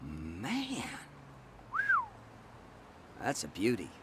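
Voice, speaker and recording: A man's voice: fairly low pitch, huge pitch swings, very slow, heavy background noise, exaggerated voice. A man playing a defiant, cocky teenage delinquent in a radio drama, with tough, slangy talk. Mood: excited, scheming.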